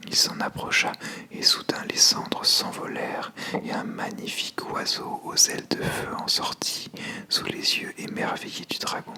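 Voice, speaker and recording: A storyteller narrating a scripted fable softly and deliberately in an ASMR style.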